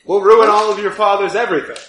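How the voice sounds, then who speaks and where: A man voicing a blunt, needling crime boss with curt demands and deadpan teasing in improvised tabletop roleplay. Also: high voice, quick pace, echoey room, slight background noise.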